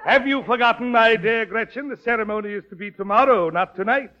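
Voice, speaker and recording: A man's voice: extremely high pitch, brisk. An actor playing a pompous, domineering burgomaster and father in old radio operetta style, grandly formal and mocking. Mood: smug, commanding. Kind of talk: 1940s radio operetta acting. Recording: dull vintage radio broadcast recording.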